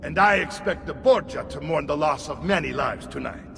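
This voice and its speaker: Older commanding warrior, high-pitched, reverberant. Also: male voice, animated, normal speed, vocal fry. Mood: grave, resolute. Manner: commanding, urgent, formal phrasing. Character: gruff older male warrior uncle, commanding and protective. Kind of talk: dramatic video game acting. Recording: long reverb under loud game music.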